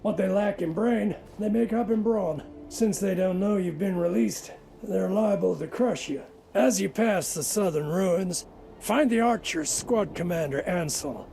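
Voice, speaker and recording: An eager fantasy-game guard with a high, gravelly-edged voice. He is deferential and chatty, earnest in the way of a wistful underling. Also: unhurried, dark tone, slight background noise. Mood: earnest, cautioning. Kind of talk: fantasy video game voice acting.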